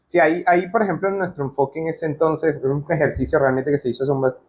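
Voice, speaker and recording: A man's voice: on the high side, fairly even intonation, quick pace, a little mellow. A man hosting a casual interview, speaking informally and dropping in English business terms. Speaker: podcast host.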